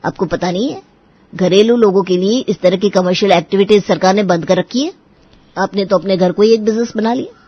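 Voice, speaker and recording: A woman's voice: mid-range voice, fairly quick, husky, no room sound, lo-fi sound, natural voice. A woman playing a stern, scolding male government official handing out fines in a cartoon story. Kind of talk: Hindi moral story cartoon acting.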